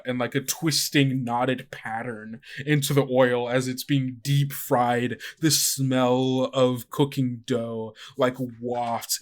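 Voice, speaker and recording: A man improvising descriptive narration as game master of a tabletop game, halting as he savors each sensory detail. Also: medium pitch.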